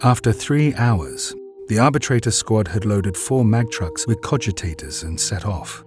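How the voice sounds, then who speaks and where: A man narrating a scripted drama in a grave, deliberate storytelling manner. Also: on the low side, lively intonation, over music.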